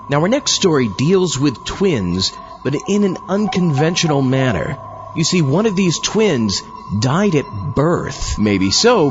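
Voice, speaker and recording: A man's voice: medium pitch, dull audio. Playful, theatrical man hosting over music. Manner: playful, theatrical, mock-pompous. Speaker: podcast host. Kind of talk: scripted comic horror-anthology introduction, talking with himself as a double. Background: prominent music.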